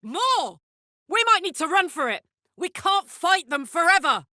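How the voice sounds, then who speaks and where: Blunt, unhurried devilish warrior woman. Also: very high-pitched, big pitch swings, pushed and bright, dry recording, clean studio sound, full character voice. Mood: urgent battle shouting. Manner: blunt, earthy, sweary. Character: tough, big-hearted female devil-touched warrior escaped from hell. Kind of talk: video game character voice acting.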